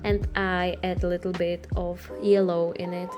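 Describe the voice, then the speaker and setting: Soft-spoken Czech woman over music. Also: lowish voice, flat delivery, moderate pace. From Czech Republic. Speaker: artist vlogger. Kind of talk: soft-spoken art vlog narration.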